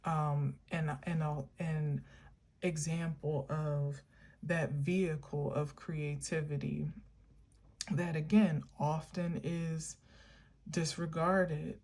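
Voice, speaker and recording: A woman with a low voice talks unhurriedly through a reflective spiritual monologue. She meanders and muses, filling pauses with ums and likes. Her tone stays earnest and encouraging throughout. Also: little pitch movement, darkish tone. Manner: meandering, musing, many filler words. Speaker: spiritual vlogger.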